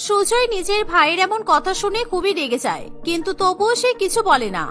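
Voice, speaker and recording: A woman's voice: extremely high pitch, sing-song, brisk, fairly bright tone, soft background music. A woman narrating a scripted cartoon story.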